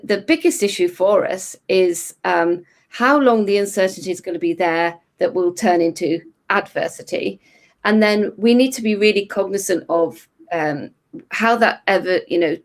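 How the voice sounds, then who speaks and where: A middle-aged woman therapist with a croaky voice, giving thoughtful explanatory answers as an interview guest, restarting phrases now and then. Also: mid-range voice, moderate pace.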